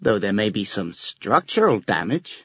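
A man acts a brainy schoolboy from a story, pitched low and husky. He is unflappable, formal and deadpan. The sound is slightly dull. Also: moderate pace, dry recording, heavily acted. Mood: calm, matter-of-fact. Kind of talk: audiobook character reading.

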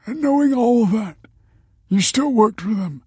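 A man's voice: very high-pitched, lively intonation, unhurried, dark tone, breathy edge, no echo, clean studio sound, voice pushed up, full character voice. A male narrator voicing a bitter, anxious man just out of prison, tense in manner. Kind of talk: audiobook character voice.

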